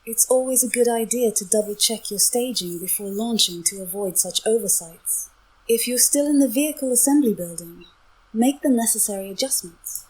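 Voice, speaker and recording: A synthetic woman's voice as an AI companion, giving formal, measured explanations with a slightly breathy tone. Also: fairly high pitch, darkish tone, low-quality recording. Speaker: AI voice assistant companion. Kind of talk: clear explanatory briefing, scripted-sounding.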